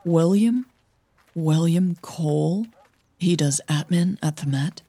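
A woman voicing an earnest, cautioning female coach in an audio drama, measured and persuasive. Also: on the low side, warm tone, husky, no room sound, heavily acted. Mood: hesitant, earnest.

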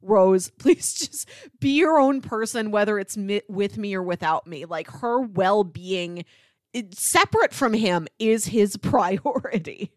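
A woman co-hosting a casual film chat, with a medium-pitched voice. She explains things earnestly, leaning often on "like" as she goes. The sound is dry, with hardly any room to it. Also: animated, a little slow, no room sound. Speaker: podcast co-host.

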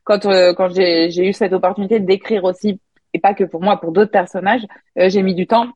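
A woman's voice: mid-range voice, steady tone, a little fast, darkish tone, slightly rough, dry recording. Woman singer talking casually over a muffled, dry line. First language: French. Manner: chatty, many restarts and fillers. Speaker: singer and songwriter. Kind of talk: casual interview chat.

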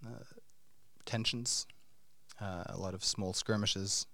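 A man's voice: lowish voice, steady tone, creaky, a bit bright, dry recording. A middle-aged man hosting an interview podcast, asking long questions with frequent fillers and restarts. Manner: halting, many fillers and restarts. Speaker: podcast host.

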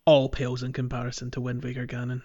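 A man's voice: medium pitch, completely flat delivery, quick pace, dark tone. A man co-hosting a podcast in casual gaming chat, often giggling between phrases, on a slightly muffled lo-fi microphone. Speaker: podcast co-host.

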